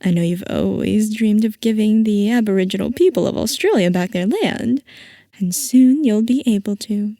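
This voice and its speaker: A woman playing a playful, possessive scientist boss who dotes on her assistant, in a soft, coaxing ASMR roleplay. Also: medium pitch, normal speed, dry recording, clean studio sound, lightly acted. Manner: soft, coaxing, affectionate villainy.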